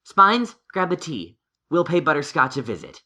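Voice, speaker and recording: Woman as a bright, low-voiced young male unicorn. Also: very animated, normal speed, heavily acted. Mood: pondering. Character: studious young male unicorn pony, thoughtful and dry. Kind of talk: cartoon-style audio story acting.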